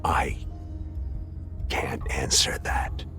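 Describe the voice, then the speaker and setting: An actor playing a defiant, self-righteous security official in a video game, lecturing emphatically and with contempt. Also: male voice, extremely slow, bright, forceful tone. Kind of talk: dramatic video game acting. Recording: clear music behind the voice.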